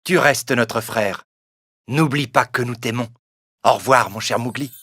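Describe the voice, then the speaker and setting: A man voicing a giant, protective snake with a solemn, deliberate manner in a children's animated story. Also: mid-range voice, no room sound, clean studio sound. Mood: tender, solemn. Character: giant male snake, slow, protective jungle friend.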